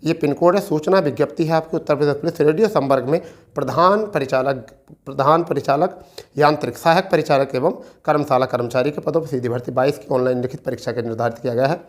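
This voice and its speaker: A man's voice: a little high, fast talker. A man explains things like an exam coach. He speaks informally to his students, repeating key points and checking in with them often. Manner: repetitive, checks in with listeners often. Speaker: exam-prep teacher and presenter.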